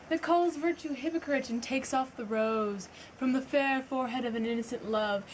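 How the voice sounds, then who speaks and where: Woman doing an anguished shakespeare prince, accusing his mother, slow dramatic verse, highish voice. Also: a little hiss or hum, lightly acted, low-quality recording. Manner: declamatory, impassioned, deliberate. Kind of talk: stage Shakespeare monologue acting.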